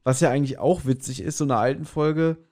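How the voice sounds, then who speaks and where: A man's voice: medium pitch, fairly even intonation, quick pace, mellow. A man chatting casually as a podcast host, retelling a story in colloquial, slangy language and acting out quotes. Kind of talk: casual chat, retelling an audio drama.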